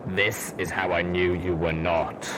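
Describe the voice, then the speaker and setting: A man acts out a grave male ghoul pony soldier from a storybook. The voice is very low, with a slow, solemn and blunt delivery. There is clear noise in the recording. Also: little pitch movement. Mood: stern.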